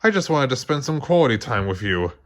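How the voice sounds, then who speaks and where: A man's voice: medium pitch, big pitch swings, brisk, a bit bright. A man voicing a caring but firm superhero mother who is easily exasperated, in a storybook reading. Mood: patient, coaxing.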